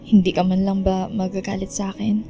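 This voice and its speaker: A woman's voice: medium pitch, flat delivery, fairly quick, music in the background, natural voice. A woman playing a remorseful, self-sacrificing young woman, apologetic and earnest, in radio drama dialogue. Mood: regretful, forgiving.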